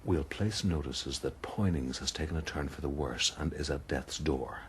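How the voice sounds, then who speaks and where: An actor playing a suave, self-regarding rogue in a radio drama, husky, wry and florid. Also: male voice, rumbling low, normal speed, a little hiss or hum. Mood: scheming. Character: suave, self-regarding Irish rogue recalling his exploits. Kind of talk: radio drama acting and narration.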